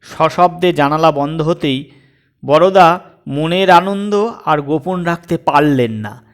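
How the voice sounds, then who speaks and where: A man reading a comic story aloud as its storyteller, in expressive scripted narration. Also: fairly high pitch, slow pace.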